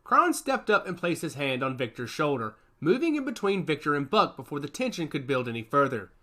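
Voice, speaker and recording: Male narrator, expressive, lively pitch, bright, slightly high. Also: a little fast. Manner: expressive storytelling. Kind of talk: audiobook narration.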